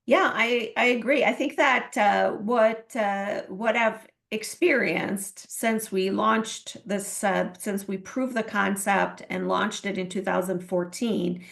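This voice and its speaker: Woman from Iran, coach and interview guest; gravelly, earnest, halting with fillers. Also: medium pitch, steady tone, fairly bright tone. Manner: earnest, many fillers and restarts. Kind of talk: interview answers.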